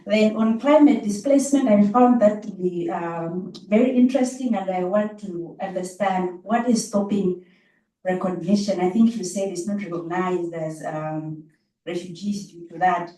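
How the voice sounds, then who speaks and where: A woman's voice: lowish voice, normal speed, dark tone. A woman from Zambia asking questions from the audience at a public talk. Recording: echoey room, distant mic.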